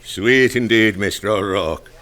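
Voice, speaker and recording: An actor playing a genial Irish-American barkeeper in a radio drama, with an exclamatory way of talking. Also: male voice, fairly low pitch, unhurried, fairly bright tone. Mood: genial, welcoming.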